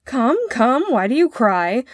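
A woman voicing a cultured gentleman, kindly but smug and patronising, in a storybook reading. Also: a little high, big pitch swings, slow, no echo, clean studio sound, voice pushed up. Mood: indulgent.

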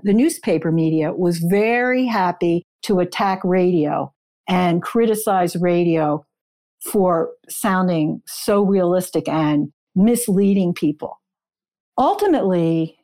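A woman with a soft-edged, mellow voice talks as an author being interviewed. She explains history conversationally, leaning on key words for emphasis, at a middling pitch. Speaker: guest author.

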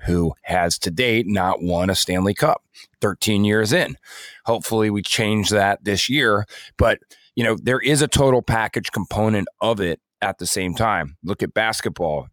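A man's voice: sits low, dry recording, studio quality. A man co-hosting a podcast, talking casually and reflectively as he works through his points. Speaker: podcast co-host. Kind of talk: casual discussion.

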